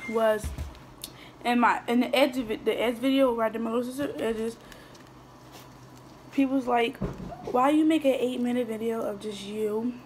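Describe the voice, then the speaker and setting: A teenage girl talks through a mukbang vlog, her voice a little high, her speech rambling and full of restarts. She chats between bites into a phone camera on the table in front of her. A faint hiss sits under her voice. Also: fairly even intonation, normal speed, pauses often, a little mellow. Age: teens. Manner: rambling, chatty, with frequent restarts and fillers; talks while eating, mouth sometimes full. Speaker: vlogger. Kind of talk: casual mukbang chat answering viewer questions. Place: small indoor room at a table.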